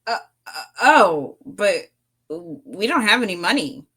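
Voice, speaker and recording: A woman's voice: medium pitch, big pitch swings, slow, a bit bright, husky, full character voice. A woman voicing a polite, helpful young girl in a cartoon script reading, speaking earnestly. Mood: flustered. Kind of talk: cartoon table read.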